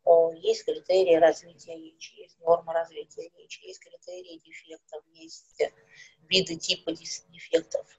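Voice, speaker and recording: A middle-aged woman, a speech therapist, calmly explaining things with everyday examples in an interview. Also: lowish voice, normal speed, breathy edge, rough recording. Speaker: speech therapist and psychologist guest.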